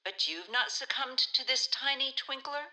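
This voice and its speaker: A bright-voiced, devout counselor, played by a woman in a community radio play. She is warm and playful, with a crisp, forward tone. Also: high voice, animated, normal speed, heavily acted.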